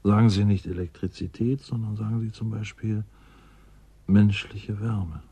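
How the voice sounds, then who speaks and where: An actor playing a reflective, philosophical man in a radio drama, speaking in a measured, emphatic way with a husky voice. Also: on the low side, fairly even intonation, mellow, exaggerated voice. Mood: earnest, emphatic. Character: reflective man theorizing on human warmth and ice.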